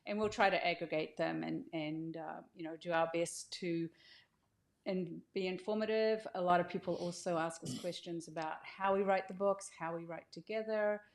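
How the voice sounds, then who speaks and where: Older woman, lower-pitched, encouraging. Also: steady tone, moderate pace. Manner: encouraging, inviting. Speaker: author hosting a welcome video. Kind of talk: casual informal explanation.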